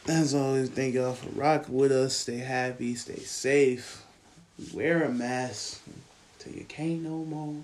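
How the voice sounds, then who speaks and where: A man's voice: medium pitch, fairly even intonation, unhurried, a little mellow. A young man co-hosting a podcast, chatting casually in slang and laughing often. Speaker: podcast co-host.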